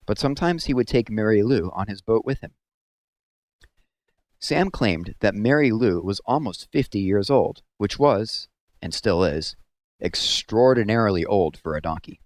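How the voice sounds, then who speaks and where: A man with a fairly low voice reads a story aloud. His phrasing is measured and expressive, in the manner of storybook narration. The sound is dry and close, without room echo.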